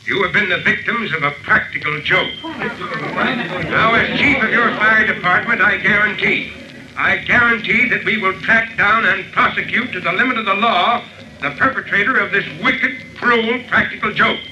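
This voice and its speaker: An actor playing a gruff, commanding fire chief in an old-time radio drama, blunt and blustery. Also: male voice, high voice, normal speed, sharp tone. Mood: gruff, indignant. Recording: noisy, muffled vintage radio recording.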